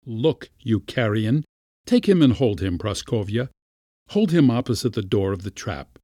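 A man's voice: lowish voice, sing-song, measured pace, warm tone, no room sound, studio quality. A man voicing a pompous, short-tempered Latin schoolmaster in a storybook reading, scolding and giving orders. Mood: exasperated. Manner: scolding, commanding, ranting. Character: irascible Latin schoolmaster uncle, pompous and furious.

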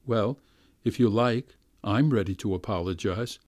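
A man reading aloud voices a weak, irresolute middle-aged gentleman who speaks in a soft, subdued, ingratiating way and keeps pleading. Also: a little slow, no echo, studio quality. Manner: pleading, rising to high plaintive appeals.

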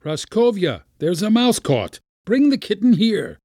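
A man's voice: mid-range voice, very animated, unhurried, a little mellow, slightly rough, dry recording, clean studio sound. A man voicing a pompous, short-tempered Latin schoolmaster in a storybook reading, scolding and giving orders. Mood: commanding. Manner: scolding, commanding, ranting. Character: irascible Latin schoolmaster uncle, pompous and furious.

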